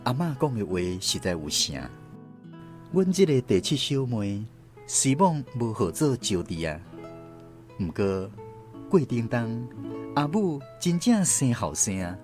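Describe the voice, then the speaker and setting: A daughter narrating family memories in Taiwanese Hokkien. Her storytelling is very varied in pitch, with faint music behind. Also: sing-song, a little slow, darkish tone, full character voice. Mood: reminiscing.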